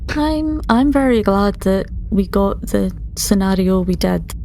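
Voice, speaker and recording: Female roleplayer, medium register with noticeable vocal fry, relaxed conversational game-table delivery, slight noise. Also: mid-range voice, unhurried. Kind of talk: casual in-character tabletop roleplay chat.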